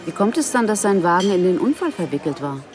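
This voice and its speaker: A woman's voice: fairly low pitch, sing-song, fast talker. A woman playing a businesslike police detective around forty in a radio drama, dry, formal and matter-of-fact. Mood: dry, probing. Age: 40s.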